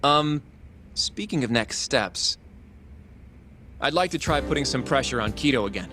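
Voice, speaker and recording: An earnest young lawyer for a video game. He is polite and a little nervous, at a middling pitch, with faint music behind him. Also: lively intonation, unhurried, pushed and bright. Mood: upbeat. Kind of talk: English video game cutscene dub.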